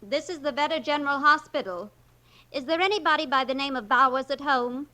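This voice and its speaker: A woman's voice: sits high, a little fast, pushed and bright. An actress playing a direct, businesslike hospital switchboard woman in a 1940s radio drama.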